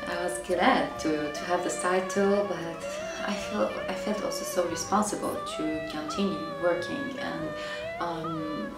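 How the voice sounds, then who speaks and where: A woman from Syria in her thirties, speaking English in a hesitant, reflective way, pausing and repeating words as she searches for them. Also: lowish voice, sing-song. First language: Arabic. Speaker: singer. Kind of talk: reflective interview answers. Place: bright living room, seated on a sofa. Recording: roomy, distant, music behind.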